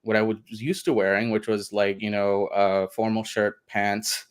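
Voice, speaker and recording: A man's voice: fairly low pitch, fairly even intonation, measured pace, clear, resonant tone. A man from India, a guest in a radio interview, talking in a reflective, meandering way and laughing often. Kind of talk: casual interview conversation.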